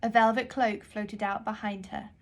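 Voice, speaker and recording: A woman author reading her children's book aloud in an expressive storytelling style. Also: mid-range voice, normal speed.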